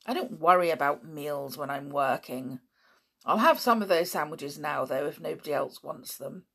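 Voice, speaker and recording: A woman's voice: low-pitched, moderate pace, creaky, fairly bright tone, husky, heavily acted. A woman voicing an irritable, absent-minded middle-aged male scientist who is vague one moment and furious the next. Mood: peevish. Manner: peevish and vague, bursting into fury.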